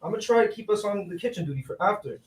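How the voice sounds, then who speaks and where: A man's voice: high voice, lively intonation, very fast. A man playing a confident, scheming construction worker in a staged reading of a play. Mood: insistent. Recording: roomy, distant, noisy microphone.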